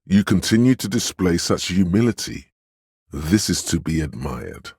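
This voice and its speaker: An actor voicing a solemn, grandiose inner voice of authority in a video game, speaking in a pompous, ceremonious and deliberate manner. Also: male voice, low-pitched, big pitch swings, a little mellow, slightly rough, no room sound, clean studio sound, exaggerated voice. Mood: solemn praise. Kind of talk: scripted game voice lines, theatrical narration.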